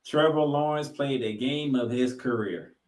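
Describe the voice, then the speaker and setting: A man's voice: medium pitch, unhurried, darkish tone. Man recapping football in an echoey room. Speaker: sports podcast co-host. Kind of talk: football recap commentary with stat read-outs. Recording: echoey, roomy sound.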